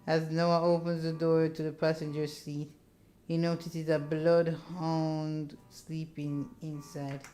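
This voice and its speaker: A woman with a low voice narrates a visual novel for a playthrough. She moves between plain story reading and playful, slangy remarks, with music playing underneath. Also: flat delivery, slow pace, dark tone. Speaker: let's player reading a visual novel aloud. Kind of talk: casual narration and commentary on a game.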